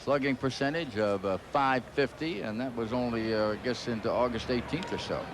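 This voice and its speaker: A man's voice: mid-range voice, brisk. A man doing live baseball play-by-play on the radio in a conversational, folksy way. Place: ballpark broadcast booth. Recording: clearly noisy 1990s stadium broadcast. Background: loud ballpark ambience.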